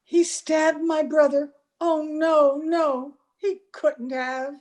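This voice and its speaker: A woman's voice: high voice, flat delivery, takes it slowly, darkish tone. A woman playing an anxious, grieving young sister in an old time radio drama, earnest and questioning, recorded over a video call. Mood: grief-stricken. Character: worried, grief-stricken young woman, sister of a slain trooper.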